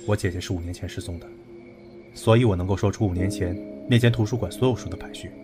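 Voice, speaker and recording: An actor playing a calm, analytical young man in an audio drama, speaking in a measured, matter-of-fact way. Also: low voice, over music, close to an everyday voice.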